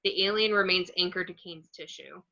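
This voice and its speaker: A woman's voice: lowish voice, a little fast, gravelly, bright, forceful tone, lo-fi sound. A woman reading screenplay stage directions aloud as scripted narration.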